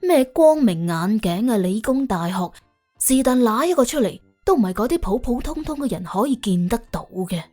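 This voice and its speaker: A woman narrating an audiobook in colloquial Cantonese, telling the story in an expressive, wry way. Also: fairly high pitch, moderate pace. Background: faint background music.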